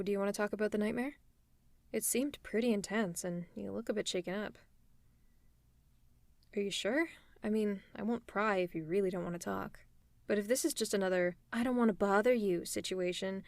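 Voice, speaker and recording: A woman playing a tough but caring female supervillain in an ASMR roleplay, speaking in a conversational, reassuring and gently teasing way. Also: mid-range voice, dry recording, close to an everyday voice. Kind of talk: ASMR roleplay audio drama.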